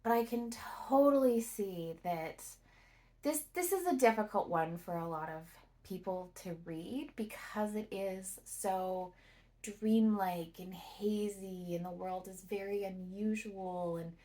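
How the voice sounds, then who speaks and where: A woman giving a casual, reflective book review to camera, speaking thoughtfully in phrases broken by pauses. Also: mid-range voice, warm tone.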